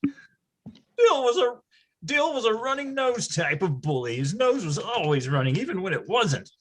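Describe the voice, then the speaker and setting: Man as high, animated, wry nostalgic narrator. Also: unhurried, background noise. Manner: wry, storytelling, florid emphasis. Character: nostalgic grown man recalling his boyhood, wry and grandiose. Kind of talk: dramatic first-person narration in readers theatre.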